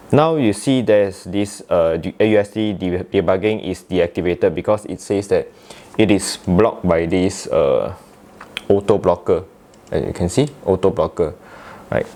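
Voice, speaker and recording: Deep-voiced Malaysian man giving a tutorial. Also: mellow. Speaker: tech tutorial presenter. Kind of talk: casual step-by-step software tutorial.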